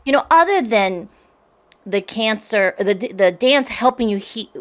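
A woman's voice: fairly high pitch, animated, a little slow, fairly bright tone. An American woman hosting a candid, chatty interview show, speaking expressively and directly to her audience. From grew up in the United States. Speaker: show host.

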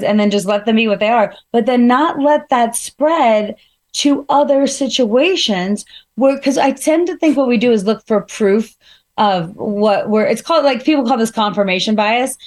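A woman with a slightly harsh voice, talking through feelings and examples in a relaxed, reflective conversational way, with frequent fillers. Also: on the high side, normal speed. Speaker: guest speaker. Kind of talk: casual conversational advice.

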